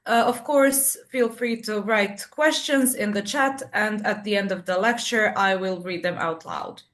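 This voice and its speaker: A woman's voice: medium pitch, unhurried, a bit bright. A woman moderating an online symposium, introducing speakers with hesitant fillers. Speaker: event moderator. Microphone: video call.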